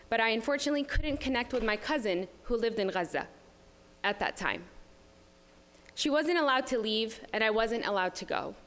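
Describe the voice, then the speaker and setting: A woman's voice: on the high side, fairly bright tone. A middle-aged woman delivering a prepared public comment speech to a council as a local resident. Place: indoor public meeting room at a community center. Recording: reverberant meeting hall.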